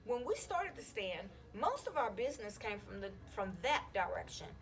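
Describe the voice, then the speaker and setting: A woman's voice: medium pitch, sing-song, moderate pace. A woman voicing an eager young schemer of a boy in a storybook, keen and pushy. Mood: urgent.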